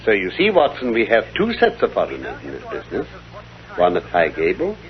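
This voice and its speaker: A man playing a self-assured British gentleman detective in a vintage radio drama, speaking in precise, formal explanations. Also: low voice, huge pitch swings, brisk. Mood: intent, confiding. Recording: dull, lo-fi vintage radio recording.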